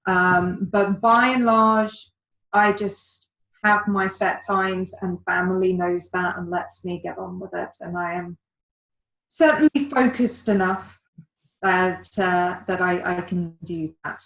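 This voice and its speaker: A woman being interviewed as a guest, answering in a measured, orderly way with some fillers. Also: on the low side, fairly even intonation, fairly bright tone. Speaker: guest, virtual assistant. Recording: echoey room.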